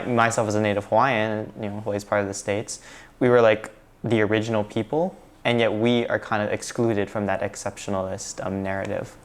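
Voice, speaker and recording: This is a young man speaking in a low voice on a student discussion panel. He is earnest and measured, and hedges his answers with fillers as he reasons them out. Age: young adult. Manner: thoughtful, hedging with fillers. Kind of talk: class discussion.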